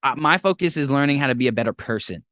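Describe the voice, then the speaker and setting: A young man hosting a podcast in casual chat, talking in an animated, rambling way with playful jumps into a high voice. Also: fast talker, no room sound, studio quality. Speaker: podcast host.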